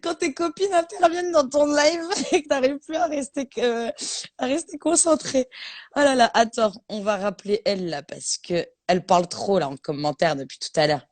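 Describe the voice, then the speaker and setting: A woman's voice: sits high, normal speed, darkish tone, dry recording. A woman in her thirties hosting a casual live stream from her phone, playful and teasing, often laughing.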